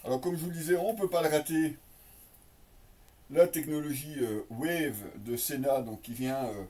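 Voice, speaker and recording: A man gives a casual, unscripted product presentation as a reviewer, in piecemeal phrases with many drawn-out hesitations. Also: on the high side, a little mellow. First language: French. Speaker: motorcycle gear reviewer. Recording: slightly distant microphone.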